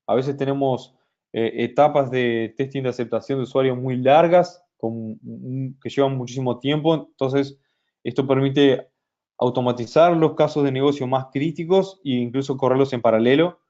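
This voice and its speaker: A man presenting a software product in a webinar, talking slowly with many fillers and restarts. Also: mid-range voice, highs cut off. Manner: hesitant, many fillers and restarts, speaks slowly in Spanish for a Brazilian audience.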